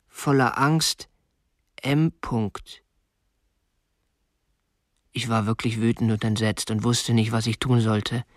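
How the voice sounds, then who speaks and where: An actor playing a prim, obsessive young kidnapper who narrates his own story in a measured, precise way for a radio drama. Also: medium pitch, no room sound, studio quality, lightly acted. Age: young adult. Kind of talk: scripted first-person narration.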